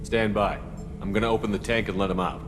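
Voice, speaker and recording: An actor playing a military starship commander in a video game, speaking in a firm, direct, controlled manner. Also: male voice, lowish voice, steady tone, fast talker, natural voice. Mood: businesslike. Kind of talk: video game character acting. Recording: long reverb, clearly noisy backdrop.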